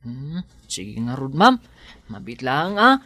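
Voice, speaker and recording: A kindly rural farmhand in an Ilocano-accented radio drama. He talks slowly and deferentially, hesitant and eager to help, easily flustered by his lady employer. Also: very deep voice, very animated, bright, forceful tone, dry recording, full character voice. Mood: shy, polite.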